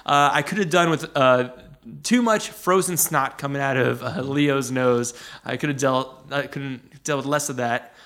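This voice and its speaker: A man's voice: mid-range voice, measured pace, a bit bright. A man hosting a comedy podcast who talks casually, laughs often and rambles through his points. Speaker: podcast host.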